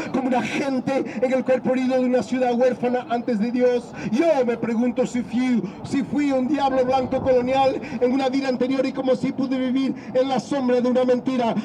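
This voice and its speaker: A man performs spoken-word poetry outdoors in a city, his voice pitched unusually high for a man. He declaims in bursts, often shouting, and sweeps between loud and soft, fast and slow. Steady traffic noise runs behind him. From Ecuador. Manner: declamatory, often shouting, dramatic swings in loudness and pace.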